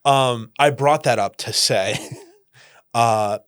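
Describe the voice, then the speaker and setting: A man from the Northeastern United States, a podcast guest talking casually, animated, often laughing and saying "like". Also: medium pitch, slow pace, smooth, no echo, clean studio sound. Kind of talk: casual conversation.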